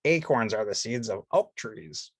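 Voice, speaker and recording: Woman doing a husky, bookish puppet fellow. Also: a bass voice, animated, measured pace, no echo, clean studio sound, heavily acted. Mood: matter-of-fact. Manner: matter-of-fact, instructive. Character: sensible, bookish male puppet friend who explains things. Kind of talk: children's storybook read-along character voice.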